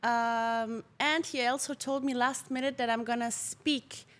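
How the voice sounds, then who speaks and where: A woman from Poland, a native Polish speaker, chatting as a lively, talkative podcast guest who laughs often. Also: fairly high pitch, a little slow. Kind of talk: casual interview chat.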